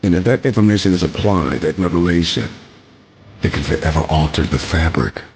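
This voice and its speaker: A man giving a motivational speech in emphatic, deliberate phrases with rhetorical repetition. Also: low-pitched, breathy edge, soft background music. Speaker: motivational speaker.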